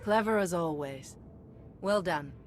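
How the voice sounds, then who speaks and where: A woman's voice: lowish voice, a little slow, soft background music, close to an everyday voice. A woman playing a poised, kind and commanding heroine in a sci-fi game, composed and decisive. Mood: composed, glad.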